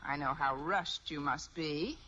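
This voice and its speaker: An actress playing a wry, quick-witted schoolteacher with an American accent and a dry, sarcastic manner in a radio sitcom. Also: female voice, low voice, bright, forceful tone. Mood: sweetly sly. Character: wry, quick-witted female high school English teacher. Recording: dull old radio recording, clear noise.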